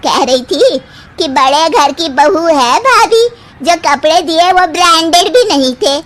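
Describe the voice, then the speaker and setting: A woman's voice: very high-pitched, lively intonation, unhurried, fairly bright tone, pitched above the performer's own voice, full character voice. A woman voicing a demanding, nagging older mother-in-law in a family moral story. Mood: scolding, accusing.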